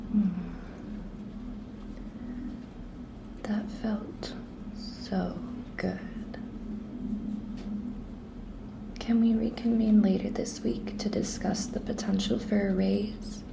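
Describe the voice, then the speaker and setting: Slow, whispery, flirty office intern played by a woman. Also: medium pitch, little pitch movement, poor audio. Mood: pleased, flirtatious. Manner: breathy, coy, slow and deliberate, often dropping to a whisper. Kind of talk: whispered ASMR roleplay.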